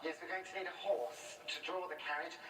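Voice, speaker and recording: An actress playing a breezy, eccentric good fairy in a stage pantomime, with a drily comic, theatrical delivery. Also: female voice, low-pitched, brisk, close to an everyday voice. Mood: busy, comic. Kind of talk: theatrical British pantomime comedy. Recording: roomy, distant, very noisy stage playback.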